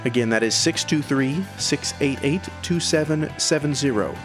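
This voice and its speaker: A man's voice: medium pitch, normal speed. A man speaking as a music podcast host and interviewer in a casual, conversational style.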